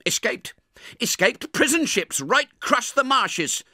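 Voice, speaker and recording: A man voicing a snappish, bad-tempered blacksmith's wife who scolds loudly in a high voice. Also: big pitch swings, a little slow, no echo, clean studio sound, full character voice. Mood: scolding.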